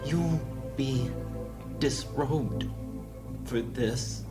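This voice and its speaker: Guy doing a bitter duelling mage, super slow and strained, music really loud behind. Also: medium pitch. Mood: bitter, weakened. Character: defeated, bitter mage, former pupil in a duel.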